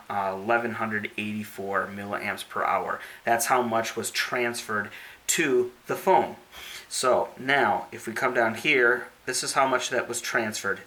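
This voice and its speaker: A man's voice: on the low side, bright, forceful tone. A man giving a casual hands-on product review, explaining each step methodically and checking in with the listener as he goes.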